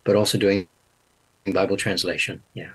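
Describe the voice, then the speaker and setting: An older man from Canada with a mixed international accent, speaking as a podcast guest and pausing often with hesitant fillers. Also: sits low, steady tone, a bit bright, breathy edge, poor audio. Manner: hesitant, many uh pauses. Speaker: guest, linguistics teacher. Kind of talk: interview answers.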